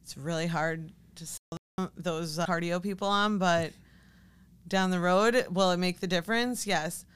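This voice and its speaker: A woman's voice: fairly low pitch, normal speed, fairly bright tone, smooth. A woman who works as a fitness coach, talking informally and conversationally as a guest in a casual interview. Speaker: podcast guest, fitness coach and business owner.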